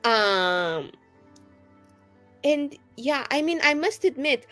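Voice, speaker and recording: A woman's voice: high voice, big pitch swings, slow pace, fairly bright tone. A woman playing a tabletop roleplaying game, improvising casually in a playful, rambling, joking way. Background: music behind the voice.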